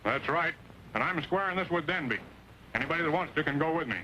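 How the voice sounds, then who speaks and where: A hot-headed, vengeful American townsman talks very fast in a sharp, forceful voice. It is urgent old Western film acting, with slight soundtrack noise behind it. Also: on the high side, lively intonation, low-quality recording.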